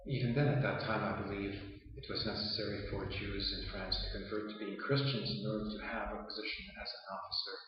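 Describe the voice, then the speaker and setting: A man whose first language is Yiddish, giving a measured interview answer with frequent hesitant pauses. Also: on the low side, steady tone, noisy background. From Canada. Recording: roomy, distant, dull lo-fi sound.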